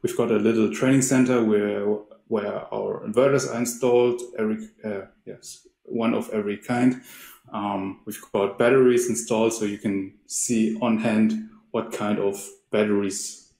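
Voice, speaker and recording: A man's voice: fairly low pitch, steady tone, normal speed, vocal fry, warm tone, roomy sound, poor audio. A man giving a hesitant webinar introduction with many fillers and restarts. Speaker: company representative. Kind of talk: webinar presentation.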